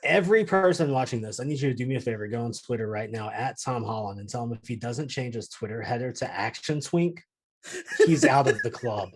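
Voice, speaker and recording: A man with a husky, medium-pitched voice co-hosts a podcast. He talks casually and without a script, as in a conversation among friends. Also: a little fast. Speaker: show co-host. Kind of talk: casual unscripted podcast chat.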